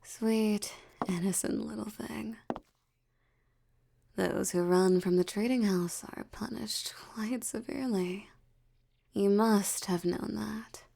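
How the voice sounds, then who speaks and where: A woman playing a haughty, predatory female vampire in intimate audio roleplay, teasing and condescending, with a breathy murmur that slips toward a whisper. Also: mid-range voice, steady tone, dark tone. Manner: teasing, condescending, slow deliberate delivery.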